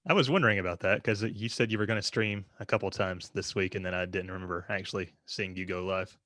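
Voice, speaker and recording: A man's voice: low voice, fairly even intonation, quick pace, pushed and bright, no echo. A man co-hosting a podcast in casual conversation. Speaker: podcast co-host. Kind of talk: casual chat.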